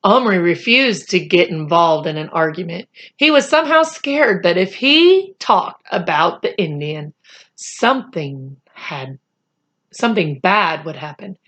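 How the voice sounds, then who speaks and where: A woman reading a children's chapter book aloud in expressive, deliberate storytelling phrases. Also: fairly low pitch.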